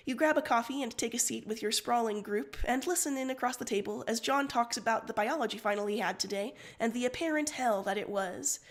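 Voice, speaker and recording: A woman reading fan fiction aloud on a livestream in an expressive, informal way. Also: fairly high pitch, brisk, hardly any pauses. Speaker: story reader on a livestream.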